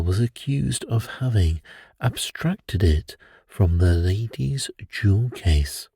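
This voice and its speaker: A man voicing a brilliant Victorian gentleman detective in a bedtime story, precise and assured. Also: medium pitch, creaky, slightly rough, dry recording, pitched above the performer's own voice, heavily acted.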